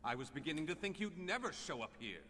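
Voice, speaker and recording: An actor playing an eager, impatient guild mage who calls out to the hero in a fantasy game. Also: male voice, a little high, sing-song, quick pace, sharp tone, long reverb tail, a little hiss or hum. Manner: calling out, impatient.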